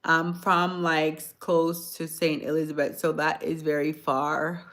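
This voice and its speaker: A woman from Jamaica chatting on a phone livestream, answering viewers' comments in a soft-spoken, sometimes hoarse voice. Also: sits low, level tone, unhurried. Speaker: livestream host. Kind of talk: casual livestream chat with viewers.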